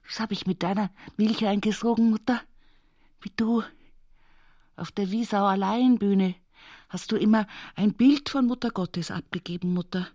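An actress playing an earthy rural daughter reminiscing at her mother's sickbed in a radio play monologue, intimate and halting, with an Upper Palatinate Bavarian accent. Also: mid-range voice, darkish tone, no echo, clean studio sound, close to an everyday voice. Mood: animated, teasing.

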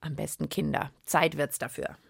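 Eine Frau mit süddeutscher Färbung, die als Moderatorin eines Kinderpodcasts anschaulich plaudert und erklärt. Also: female voice, deep voice, steady tone, normal speed, creaky, over loud music. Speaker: host of children's science podcast. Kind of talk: lively explanatory chat.

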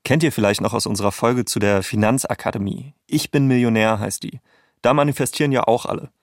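A man's voice: medium pitch, brisk, no echo, studio quality. A man hosting and narrating a documentary podcast from a script.

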